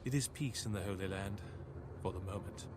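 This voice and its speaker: A man's voice: sits low, lightly acted. Solemn crusader storyteller played by a man over music. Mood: reflective, wistful. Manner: measured, grave, formal. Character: captured Norman crusader narrating his years with Saladin, reflective, solemn. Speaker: campaign narrator. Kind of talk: scripted dramatic storytelling narration. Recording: voice over a clear music bed. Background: game cutscene music.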